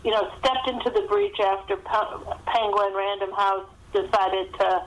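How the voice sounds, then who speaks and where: Female guest author, mid-pitched, chatting in interview style down a phone line. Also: measured pace. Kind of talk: conversational interview answers. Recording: thin phone-line sound.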